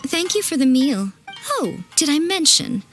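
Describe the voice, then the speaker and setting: A sly, haughty lady of refined leisure in a video game. The voice is fairly high and very melodic, airy, coy and teasing. Soft music runs underneath. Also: big pitch swings, a little slow, heavily acted. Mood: airy, mildly exasperated. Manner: playful, coy, self-satisfied, airy. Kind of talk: video game character acting.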